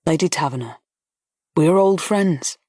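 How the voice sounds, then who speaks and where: A composed, good-natured colonel, read by a woman, low-pitched and even, talking plainly and gently. The voice is close and dry, with no room sound. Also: moderate pace, slightly rough, no room sound. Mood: reassuring. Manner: pleasant, steady, gently frank. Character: kind, calm older male colonel. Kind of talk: audiobook character voice.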